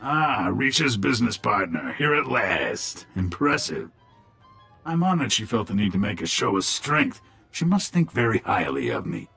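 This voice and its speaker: An actor playing a shady alien gangster lieutenant in a video game, with a husky, gravelly voice. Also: male voice, on the low side, big pitch swings, normal speed, pushed and bright, soft background music, exaggerated voice. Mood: smooth, welcoming. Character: shady alien male gangster lieutenant running a desert club. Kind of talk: video game dialogue acting.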